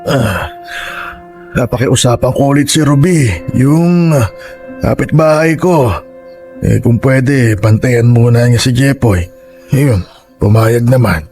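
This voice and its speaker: A man acting in a radio drama as a humble, weary elderly grandfather with a Filipino accent, halting and apologetic. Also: medium pitch, darkish tone. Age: older. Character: humble, weary elderly grandfather street vendor, stubbornly hardworking. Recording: music bed under dialogue.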